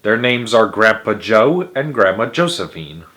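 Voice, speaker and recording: A man narrating a children's storybook aloud in a theatrical, emphatic way, with dramatic pauses and stretched words. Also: on the low side, sing-song, fairly bright tone. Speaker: host of a read-aloud story series.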